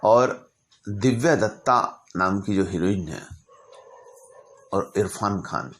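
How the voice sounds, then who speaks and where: A man narrating stories informally as an astrologer, speaking deliberately in short phrases broken by pauses. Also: lowish voice. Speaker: astrologer telling client stories.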